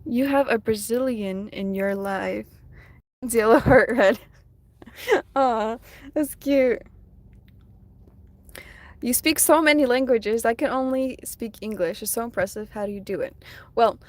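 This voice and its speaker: A woman whose first language is Russian, chatting softly on a casual live stream from her phone while she draws, reading out viewers' comments. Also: on the high side, measured pace, long pauses, dry recording. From United States. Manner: soft-spoken, chatty, reads viewer comments aloud.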